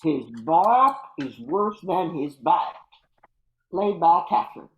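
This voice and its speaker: Slow, lower-voiced older woman, roomy echo. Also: sing-song, highs cut off, slight background noise. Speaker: amateur cast member. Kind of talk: scripted cast introduction.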